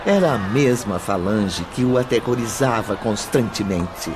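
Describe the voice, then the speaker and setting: A man's voice: on the low side, animated, measured pace, noisy background. An actor playing a solemn male storyteller, giving dramatic scripted narration in a radio drama. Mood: ominous.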